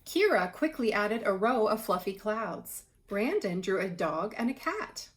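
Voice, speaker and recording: A woman's voice: medium pitch, sing-song, unhurried, slight background noise. A woman reading aloud and explaining things to children in a conversational way, like a teacher. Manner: conversational, asks the listener questions while reading.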